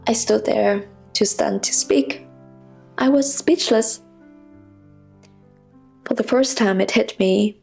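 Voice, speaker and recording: A woman in her twenties, a non-native English speaker, narrating a reflective, scripted personal story in a confessional, measured way. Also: medium pitch, vocal fry, darkish tone, faint music behind. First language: not English. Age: 20s. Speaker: personal vlogger.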